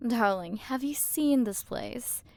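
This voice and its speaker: A woman's voice: fairly high pitch, animated, darkish tone, no echo, exaggerated voice. A woman playing a haughty, possessive alien galaxy queen in an ASMR roleplay, condescending and flirtatious as she toys with the listener. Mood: smug, disdainful. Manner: condescending, flirtatious, drawn-out delivery with breathy drops into whispers. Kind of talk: ASMR roleplay, scripted character acting.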